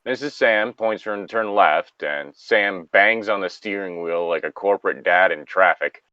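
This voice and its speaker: A man's voice: low voice, normal speed, bright, forceful tone, dry recording, muffled recording. A man co-hosting a story reading, switching between narration and casual, joking asides. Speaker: co-host reading stories aloud.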